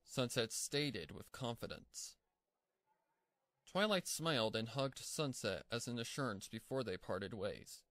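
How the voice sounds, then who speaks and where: A man's voice: medium pitch, lively intonation, a little slow, fairly bright tone, dry recording. Man narrating a story, dry close sound. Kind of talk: scripted fan-fiction narration.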